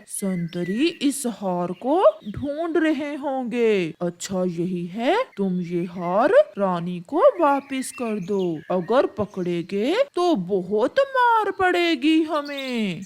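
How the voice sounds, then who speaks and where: A woman's voice: a little high, very animated, extremely slow, few pauses, darkish tone, exaggerated voice. A woman voicing a cautious male crow husband who admonishes in a worried way in a children's cartoon.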